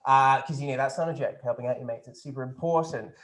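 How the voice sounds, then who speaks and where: A man's voice: medium pitch, fast talker, fairly bright tone, reverberant, close to an everyday voice. A man playing a pompous, flippant posh government minister who rambles and stumbles over a video call. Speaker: politician taking questions. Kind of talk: satirical press conference sketch.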